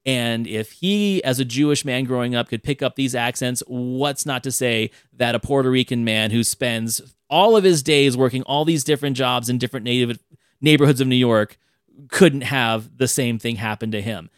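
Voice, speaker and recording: Give me an animated male podcast host chatting about movies, recorded dry and close. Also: medium pitch, normal speed, smooth, dry recording. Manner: animated, acts out quotes in a raised voice. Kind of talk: casual film discussion.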